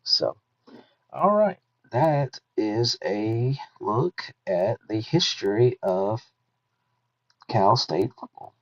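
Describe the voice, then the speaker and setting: A man's voice: on the low side, sing-song, extremely slow. A man in his thirties hosting a podcast in a chatty, easygoing way, thinking aloud and correcting himself as he goes. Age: 30s. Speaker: podcast host. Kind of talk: casual podcast talk. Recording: dull lo-fi sound.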